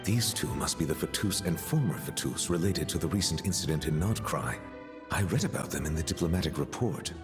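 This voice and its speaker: Stately, earnest dragon judge for a game; very deep voice, formal and measured, melodic swings. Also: male voice, lively intonation, music in the background. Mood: formal, reserved. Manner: formal, measured, slightly awkward when flustered. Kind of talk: video game voice acting.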